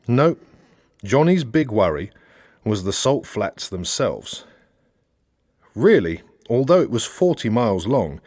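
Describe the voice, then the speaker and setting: A man with a fairly low voice narrates a satirical audiobook. His intonation moves widely, with measured storytelling and expressive emphasis. The recording is close and dry, with hardly any room sound. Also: no room sound. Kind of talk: scripted narration of a satirical novel.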